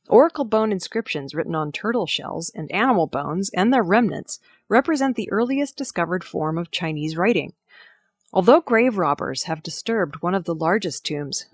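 A woman's voice: fairly low pitch, no room sound, clean studio sound. A woman reading scripted news stories as a narrator. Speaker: news reader.

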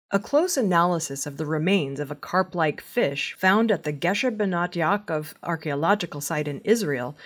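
Woman, slightly low pitch, very varied intonation, scripted news reading. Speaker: news reader.

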